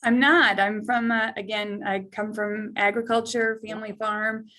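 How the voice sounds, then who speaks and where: A woman's voice: mid-range voice, steady tone, moderate pace, pushed and bright. A woman on a panel describing her background in a hesitant way, with many fillers and restarts. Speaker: panel guest. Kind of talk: panel interview, personal story.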